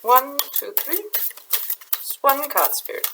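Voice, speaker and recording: Middle-aged Danish woman, slightly high voice, soft hesitant English, small room, webcam. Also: lively intonation, vocal fry, heavy background noise. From Denmark. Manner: soft, halting, repeats words while searching for them. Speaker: spiritual channeler. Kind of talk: casual spiritual vlog talk. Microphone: webcam in front of her.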